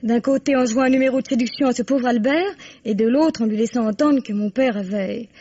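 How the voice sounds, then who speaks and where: Proud, bitter young woman, husky. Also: on the high side, brisk, mellow, no room sound. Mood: scornful, bitter. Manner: frank, scornful, emphatic. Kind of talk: French radio mystery drama acting. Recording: dull, dry, band-limited radio drama recording.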